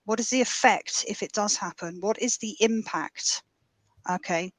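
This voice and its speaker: A woman on a conference panel, explaining her points briskly and with emphasis. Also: medium pitch, no room sound. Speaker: panelist, data lead.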